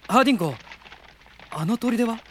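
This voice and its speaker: A man playing a gentle, earnest teenage prince in an audio drama, courteous and soft-spoken as he leads his army. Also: very high-pitched, animated, moderate pace, a little hiss or hum. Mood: attentive, curious. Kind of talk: Japanese audio drama acting.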